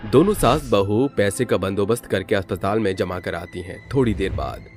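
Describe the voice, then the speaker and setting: A man's voice: fairly low pitch, moderate pace, a little mellow, soft background music. A man narrating a scripted story in a dramatic storytelling style.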